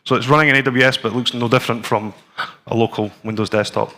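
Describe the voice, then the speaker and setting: Scottish guy in his 40s doing a conference talk + live demo, chatty, lots of ums, middle pitch voice. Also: quick pace. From Scotland. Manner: relaxed, conversational, with frequent ums and restarts. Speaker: conference presenter. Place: conference room with a screen and audience.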